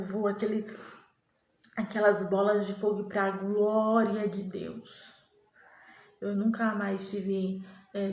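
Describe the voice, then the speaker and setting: A young woman giving a slow, earnest personal religious testimony to the camera, halting and restarting as she recalls things. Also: mid-range voice, darkish tone, roomy sound. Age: young adult.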